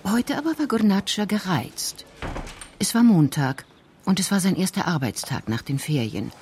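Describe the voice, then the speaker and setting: A woman's voice: fairly low pitch, big pitch swings, moderate pace, darkish tone, slight background noise. A woman narrator reading scripted crime-story narration for a radio drama.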